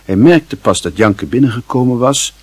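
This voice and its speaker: A man narrating a literary radio play in a measured, formal and wry manner, with a husky voice. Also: mid-range voice, animated, no room sound.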